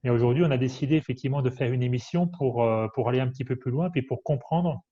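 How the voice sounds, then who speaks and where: A man's voice: mid-range voice, quick pace, dark tone. A man hosting an interview show, introducing his guest in a hesitant way with frequent fillers and restarts. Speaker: host. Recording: dull lo-fi sound.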